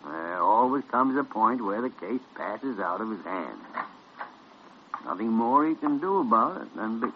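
An actor playing a gruff, pragmatic senior doctor with brusque authority, in old-time radio drama style. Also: male voice, lowish voice, very animated, a little slow. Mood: resigned, measured. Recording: dull, noisy vintage radio recording.

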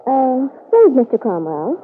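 A woman's voice: sits high, big pitch swings, measured pace, breathy edge, full character voice. A woman playing a gracious, politely probing houseguest in an old-time radio soap opera, with delicate, coy hesitations. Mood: polite, slightly flustered. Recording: tinny, noisy old radio broadcast.

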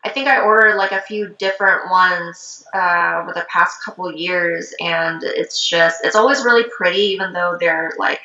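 A woman's voice: on the low side, moderate pace, hardly any pauses. A woman raised from Korea whose first language is Korean, a shaman chatting casually in an interview with lots of ums and likes. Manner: relaxed, many fillers like um and like. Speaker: traditional shaman. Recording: roomy, slightly dull sound.

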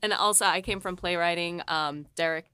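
A woman's voice: fairly low pitch, brisk, bright, forceful tone, smooth, dry recording. A woman co-hosting a casual podcast chat, thinking aloud in an informal way. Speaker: co-host and writer with playwriting background.

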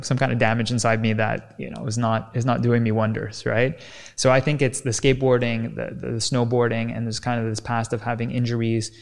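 Gravelly-voiced man explaining. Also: mid-range voice. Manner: energetic teaching tone, frequent "right?" checks. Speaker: kinesiologist guest. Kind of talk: explanatory podcast conversation.

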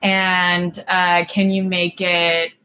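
A woman's voice: lowish voice, monotone, extremely slow, sharp tone. Woman in her 30s from singapore, film director guest, rambly easygoing chat, lots of like and you know. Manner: chatty, full of "like" and "you know" fillers, laughs often. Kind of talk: casual interview chat.

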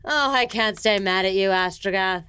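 An actress playing an imperious, easily exasperated villainous empress in a comedic fantasy audio drama, haughty, theatrical and mercurial. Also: female voice, medium pitch, normal speed, bright, forceful tone, smooth, no echo, natural voice. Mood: coolly ruthless.

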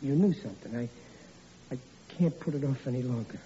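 A man's voice: medium pitch, moderate pace, mellow, slight background noise, lightly acted. An actor playing a bumbling, bluffing father who stammers through his excuses, in 1940s radio acting style, on an old lo-fi recording. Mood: blustering. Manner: stammering, bluffing comic bluster. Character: blustering bumbling father Riley.

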